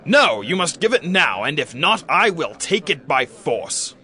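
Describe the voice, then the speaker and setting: A man's voice: on the high side, big pitch swings, measured pace, pushed and bright, breathy edge, exaggerated voice. A male actor playing a greedy, bullying priest's servant in a dramatized scripture reading, demanding and threatening. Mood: demanding, menacing.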